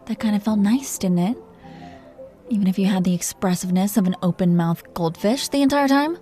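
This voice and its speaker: Dragon queen conqueror, played by a woman: flirtatious, possessive, commanding, mid-pitched, intimate roleplay audio with music underneath. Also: brisk, mellow, lightly acted. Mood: seductive, bossy. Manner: teasing, commanding, flirtatious with pet names.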